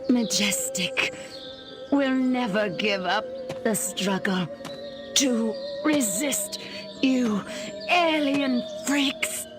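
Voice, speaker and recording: A woman's voice: on the high side, extremely slow, music in the background. A woman playing a bitter, defiant government agent in a video game, haughty and scornful in dramatic delivery. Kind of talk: video game character acting.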